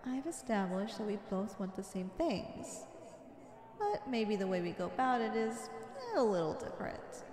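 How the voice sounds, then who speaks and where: A woman's voice: medium pitch, lively intonation, normal speed, a crowd in the background, natural voice. A woman playing a flirty, confident female supervillain in an ASMR roleplay, coy and teasing as she sweet-talks the listener. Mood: earnest, resolute. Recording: echoey with long reverb.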